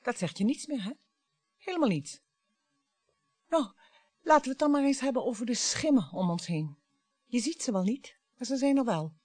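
Bitter grieving wife in a radio play, taunting n dramatic, voice goes up and down a lot, very dry sound. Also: female voice, on the high side, lively intonation, moderate pace, pauses often, darkish tone, breathy edge, no room sound. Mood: taunting, accusing. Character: bitter, grieving wife and mother confronting her husband. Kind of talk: Dutch radio play acting.

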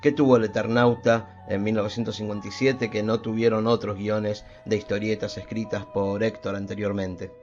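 A man's voice: lowish voice, flat delivery, moderate pace, hardly any pauses, darkish tone. A man with a Buenos Aires accent presenting a radio programme as its host. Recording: dull lo-fi radio stream. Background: faint music.

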